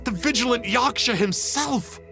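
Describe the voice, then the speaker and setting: A man's voice: very high voice, measured pace, gravelly, exaggerated voice. An actor playing an earnest, repentant male superfan in a video game, speaking formally and reverently. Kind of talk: theatrical video game dialogue. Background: music.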